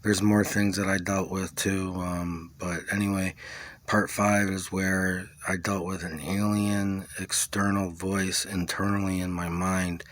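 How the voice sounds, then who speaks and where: A man giving a rambling first-person account, halting as he searches for his words. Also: low voice, a bit bright. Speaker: video creator recounting own experience.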